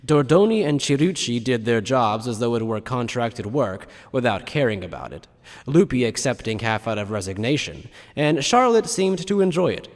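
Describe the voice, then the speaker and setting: A male narrator with a medium-pitched voice in long, hall-like reverb. He reads scripted dramatic story narration. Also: very animated, normal speed.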